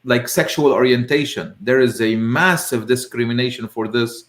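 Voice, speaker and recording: A man from Lebanon, hosting an informal live discussion in a halting way, searching for words. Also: medium pitch. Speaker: host of a live discussion show. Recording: roomy, echoey sound.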